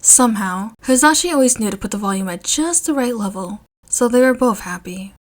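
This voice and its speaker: A woman's voice: on the high side, animated, fairly quick. A woman narrating a scripted story expressively, as the reader of a piece of fiction.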